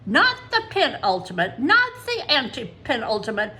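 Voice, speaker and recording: A woman's voice: on the high side, huge pitch swings, moderate pace, sharp tone, voice pushed up, exaggerated voice. A woman doing a stern, exasperated mother. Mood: indignant. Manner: clipped, emphatic, precise.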